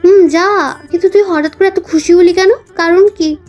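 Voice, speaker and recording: High-pitched actress as young elder sister, caring and teasing, audio-story acting over soft music. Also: female voice, fairly even intonation, normal speed.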